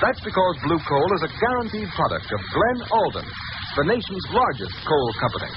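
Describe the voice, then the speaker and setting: A man's voice: a little high, wildly swooping pitch, fairly quick. A man announcing a scripted old-time radio advertisement in an emphatic, polished, formal manner. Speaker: announcer, sponsor pitchman. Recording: noisy, muffled vintage radio recording.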